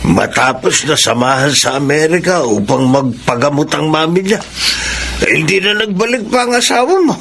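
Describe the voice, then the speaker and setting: Gravelly old Filipino grandfather. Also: on the high side, lively intonation, moderate pace, fairly bright tone. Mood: bitter. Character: concerned, indignant elderly grandfather. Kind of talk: radio drama acting. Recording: noisy ambient radio drama scene.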